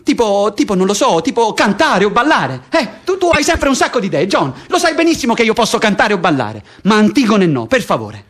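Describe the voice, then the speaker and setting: An actor playing a stubborn, hot-headed, foul-mouthed political prisoner in a theatrical radio drama, speaking vehemently and repeating himself. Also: male voice, high-pitched, very animated, a little fast, few pauses. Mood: pleading, warning.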